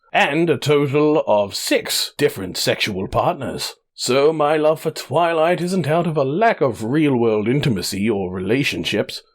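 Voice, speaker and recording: Dramatic letter reading: earnest, proud, lovestruck male fiancé, acted by a man, emphatic and very animated in pitch.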